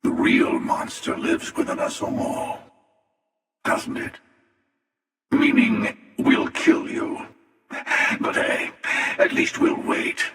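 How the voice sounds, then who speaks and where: Give the game a menacing, cynical hunter of many universes. His gravelly voice moves slowly and sardonically, as if every line is a taunt. It rings through a big, cavernous reverb and sounds set back from the mic. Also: very high-pitched, wildly swooping pitch, a bit bright, far from the mic, full character voice. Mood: sardonic, cold. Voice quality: gravelly, creaky. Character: cynical, menacing, world-weary male interdimensional hunter. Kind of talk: video game character voice lines.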